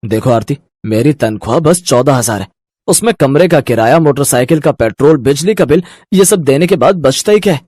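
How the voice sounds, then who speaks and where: A man's voice: medium pitch, animated, a little fast, darkish tone, no room sound, close to an everyday voice. Young working husband, acted by a man, practical everyday cartoon delivery, very dry studio-close sound. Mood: exasperated. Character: young salaried husband, practical and exasperated about money.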